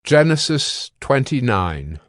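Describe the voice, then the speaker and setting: A man narrating scripture from a script in a measured, formal way. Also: medium pitch, very animated, no room sound.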